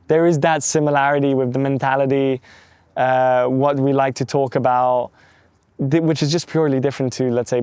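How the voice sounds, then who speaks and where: A young man answers interview questions in a measured, thoughtful way, leaning on little phrases as he thinks. His voice is smooth and resonant at an ordinary pitch. The sound is dry and close. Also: a little mellow, no echo. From London, England. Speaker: interview guest.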